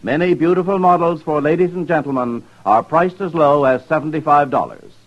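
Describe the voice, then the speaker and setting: Old time radio ad guy, american, polished and formal, highish voice, muffled recording. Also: a little fast. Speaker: commercial announcer. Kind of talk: 1950s radio advertisement, scripted.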